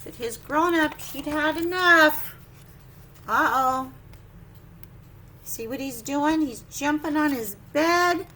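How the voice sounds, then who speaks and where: A woman teacher doing an animated story time for young children, sing-song and exclaiming, often putting questions to them. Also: high-pitched, slow, pauses often.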